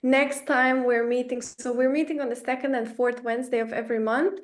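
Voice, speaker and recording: A woman's voice: a little high, fairly even intonation, fairly quick. A young woman from Romania leading an online workshop, chatty and self-effacing, with many fillers and restarts. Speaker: workshop facilitator.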